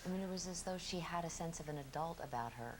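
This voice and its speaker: A woman's voice: low-pitched, fast talker, noisy background. A woman in a documentary interview, speaking in an admiring, emphatic way.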